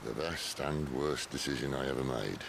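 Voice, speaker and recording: A middle-aged man playing a wry, seasoned outlaw leader in a video game, gravelly and measured. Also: a bass voice, noisy background. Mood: earnest. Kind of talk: dramatic video game voice acting. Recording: music under the voice.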